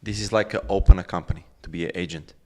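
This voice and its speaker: A middle-aged Portuguese man speaking English as a second language, halting and repeating words while he searches for them. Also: sits low, fairly even intonation, dark tone. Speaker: real estate expert guest. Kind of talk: casual conversation.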